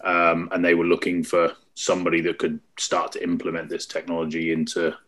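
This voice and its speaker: A man from the UK, a startup co-founder, answering interview questions in a relaxed, conversational way over a call. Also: low-pitched, brisk.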